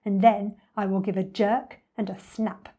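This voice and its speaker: A woman's voice: mid-range voice, level tone, measured pace, warm tone, breathy edge. A woman voicing an enormous, hungry male crocodile in a storybook, scheming and relishing each word. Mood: gloating, scheming.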